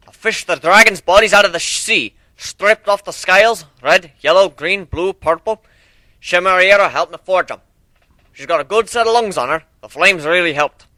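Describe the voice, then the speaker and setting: A man's voice: high voice, moderate pace, pushed and bright, no room sound, voice pushed up. A man voicing a hearty, grateful ship captain in a folksy manner for a story reading. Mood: proud.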